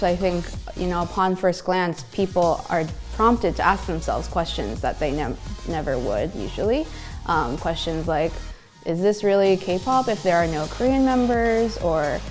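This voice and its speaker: A woman's voice: lowish voice, darkish tone. A woman answers interview questions articulately, with frequent "um" fillers.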